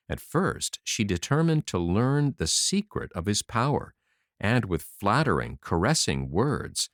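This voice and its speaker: A man narrating a fairy tale as an audiobook, in an expressive storytelling style. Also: on the low side, no echo, studio quality.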